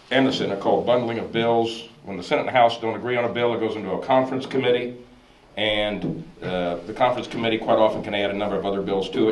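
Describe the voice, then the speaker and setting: Hoarse low-voiced man in an echoey hall. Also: a little mellow. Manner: earnest, detailed explanation with frequent restarts. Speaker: state legislator on a panel. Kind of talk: public forum talk. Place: church hall forum. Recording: echoey hall, distant mic, faint noise.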